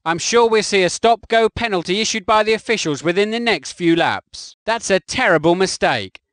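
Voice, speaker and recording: A man gives matter-of-fact race analysis in a high, lively voice. Each line sounds recorded in a studio booth, close to the mic. The sound is dry. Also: normal speed, no echo. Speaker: co-commentator. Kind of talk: recorded game commentary lines.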